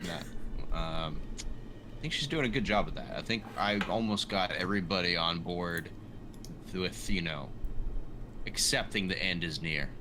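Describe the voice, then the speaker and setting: A man's voice: low voice, animated, measured pace, pauses often. A man chatting casually as a tabletop game player, with a hesitant, dryly joking manner. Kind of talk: casual chat, character introduction. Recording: noticeable background noise.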